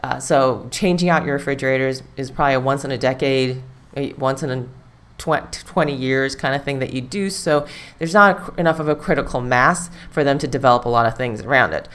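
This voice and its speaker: A woman's voice: extremely low pitch, a little fast, fairly bright tone. A woman hosting a live stream, talking casually and rambling with fillers. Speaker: livestream host.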